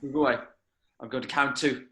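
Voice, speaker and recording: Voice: mid-range voice, steady tone, a little fast, bright, forceful tone, a little hiss or hum, lightly acted. An amateur performer reading the part of an indignant, priggish man who argues insistently in a film script table read. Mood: grim insistence. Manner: insistent, argumentative. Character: indignant, priggish man, defensive lecturer under pressure.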